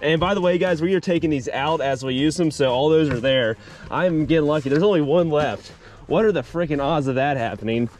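A man with a fairly high-pitched voice hosts a fishing video. He talks straight to his viewers in casual, slangy language, like someone presenting his own outdoor channel. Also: a little fast, darkish tone, faint music behind. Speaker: fishing video host and competitor. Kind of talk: casual outdoor vlog chat with a scripted sponsor read.

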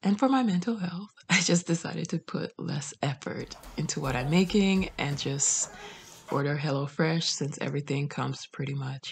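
Low-voiced chatty woman with a gravelly edge. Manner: chatty and candid, soft asides. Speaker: cleaning vlogger. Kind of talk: casual voice-over narration.

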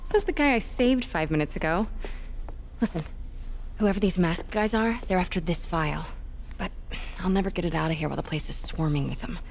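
An actress playing a sharp, guarded young American reporter in a video game, with a dry, teasing delivery. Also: female voice, on the low side, a little fast, creaky, slight background noise. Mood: wry, urgent. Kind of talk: naturalistic video game cinematic acting.

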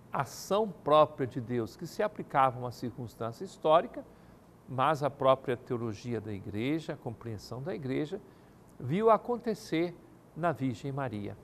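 An older man speaking as an archbishop in a TV studio, answering viewers' questions in a measured, formal pastoral manner. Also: medium pitch, vocal fry, slight background noise. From Brazil. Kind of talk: televised pastoral talk. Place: TV studio set. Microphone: studio mic, seated at desk.

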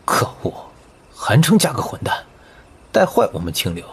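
A young man playing a gruff, irritable teenage older brother with a brusque, grumbling delivery in an audio comic drama. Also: mid-range voice, huge pitch swings, moderate pace, full character voice. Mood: angry.

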